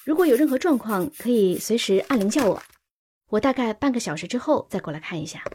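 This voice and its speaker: A woman's voice: fairly high pitch, big pitch swings, fast, mellow, slight background noise. A woman playing a caring, businesslike hospital nurse in a radio drama. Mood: brisk, attentive. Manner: businesslike, instructive.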